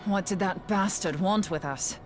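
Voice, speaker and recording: A woman's voice: mid-range voice, measured pace, soft background music, natural voice. An actress playing a brash, sardonic young woman adventurer in a video game, blunt, wry and colloquial in delivery.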